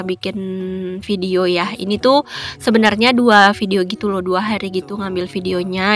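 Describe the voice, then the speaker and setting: A woman's voice: medium pitch, a bit bright. A woman with a stuffy nose from a cold, chatting casually in a cooking vlog. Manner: chatty, many fillers. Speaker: vlogger, housewife.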